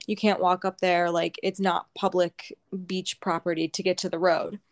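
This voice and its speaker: A woman's voice: on the low side, flat delivery, moderate pace. Young woman telling a story, swearing freely. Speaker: podcast co-host. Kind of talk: casual storytelling.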